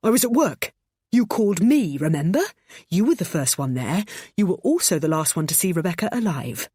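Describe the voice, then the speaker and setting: A woman's voice: deep voice, big pitch swings, a little fast, darkish tone, husky, no room sound, studio quality, heavily acted. A woman voicing a controlling young man who speaks defiantly and barks back. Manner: defiant, barking.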